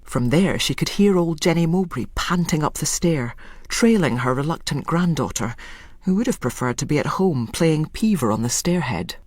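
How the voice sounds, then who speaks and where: Husky, expressive story narrator. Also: medium pitch, moderate pace, dry recording, studio quality. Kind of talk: scripted literary narration.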